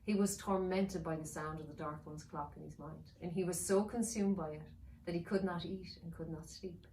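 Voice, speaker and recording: A woman author narrating her children's fantasy novel in a measured, expressive storytelling way. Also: on the low side. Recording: roomy, distant mic with faint noise.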